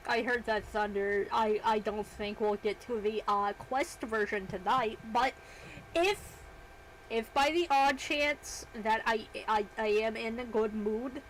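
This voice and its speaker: Brief: a chatty, self-deprecating woman streamer with a fairly high voice, slow and easygoing, with faint background noise. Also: poor audio. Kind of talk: casual livestream chat while working.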